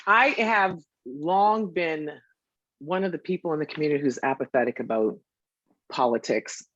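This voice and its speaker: A woman lawyer running for office, giving a measured, deliberate campaign statement. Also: low-pitched, lively intonation, no echo, muffled recording. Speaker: Liberal candidate, criminal lawyer.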